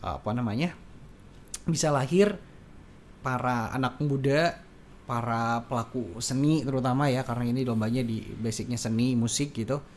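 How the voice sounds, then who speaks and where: Man, Madurese accent, talk show host, chatty, affirming, medium pitch, faint noise. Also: moderate pace, a little mellow. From Madura, Indonesia. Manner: chatty, frequent affirming interjections. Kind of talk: casual radio interview.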